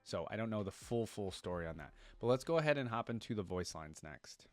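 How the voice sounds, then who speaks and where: A man's voice: fairly low pitch, expressive, a little fast, no room sound. A man giving casual reaction commentary as a video host, thinking aloud in informal, slangy language.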